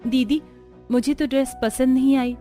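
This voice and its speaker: A woman's voice: high voice, fairly even intonation, moderate pace, dark tone, faint music behind, close to an everyday voice. A woman playing a modest, polite, hardworking young daughter-in-law in a cartoon family story. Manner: polite, unassuming.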